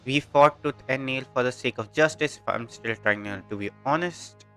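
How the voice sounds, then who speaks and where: A man's voice: mid-range voice, normal speed, sharp tone, clean tone, no room sound. A man with a North Indian accent giving casual, rambling live game commentary that switches between Hindi and English. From India, Delhi. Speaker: game livestreamer. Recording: game music behind.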